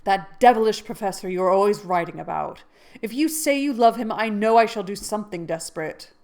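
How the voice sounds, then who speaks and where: A woman voicing a passionate, heartbroken young man who pleads loudly and slowly. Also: medium pitch, lively intonation, close to an everyday voice. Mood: pleading. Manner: intense, pleading, loud and slow.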